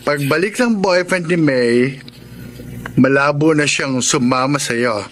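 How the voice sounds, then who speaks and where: A man's voice: a little high, takes it slowly, fairly bright tone. An actor playing a young man's teasing, chummy friend in a Filipino radio drama. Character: young male friend, teasing, egging on a lovestruck buddy. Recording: radio drama with faint noisy ambience.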